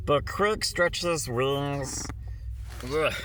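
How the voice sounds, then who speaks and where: A man's voice: a little high, drawn-out words, a bit bright, a little hiss or hum. A man reading a rhyming children's picture book aloud in a theatrical, rhythmic way, stretching words for effect. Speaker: storybook reader.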